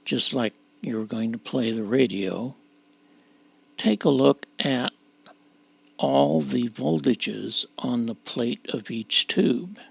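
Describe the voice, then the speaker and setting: Male repair presenter, very creaky and husky, halting explanatory delivery, close dry recording. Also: mid-range voice, dry recording. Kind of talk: unscripted repair walkthrough narration.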